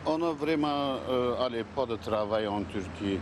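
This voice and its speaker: A middle-aged shop owner from Turkey, a native Turkish speaker with a Turkish accent, speaking in simple halting phrases. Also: male voice, medium pitch. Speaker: shop owner being interviewed. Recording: very noisy street with cars.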